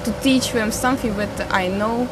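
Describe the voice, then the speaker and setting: A woman's voice: a little high, a little slow, low-quality recording. A young woman from Latvia, a pedagogy student helping at a youth centre, speaking hesitantly as she searches for words. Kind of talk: documentary interview. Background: background music.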